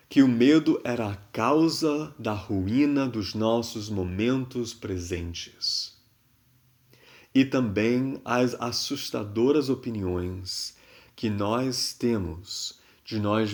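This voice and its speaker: A Brazilian man reads scripted literary prose aloud. His voice sits at a medium pitch and moves at a slow pace. The delivery is expressive and deliberate, set apart by dramatic pauses. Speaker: reader of a literary newspaper column.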